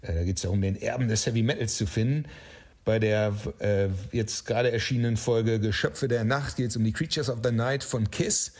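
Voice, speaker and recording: A man with a slightly husky voice giving explanatory answers as an interview guest. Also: on the low side, fairly even intonation, moderate pace, a little mellow. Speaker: interview guest, audio drama writer.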